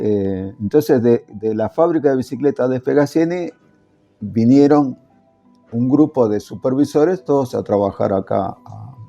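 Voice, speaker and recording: A man's voice: medium pitch, creaky, mellow, muffled recording. An older Italian-born man recalling his working years in a casual interview, hesitant and full of fillers. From Italy. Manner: hesitant, many fillers and restarts. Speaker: interviewee, former factory worker.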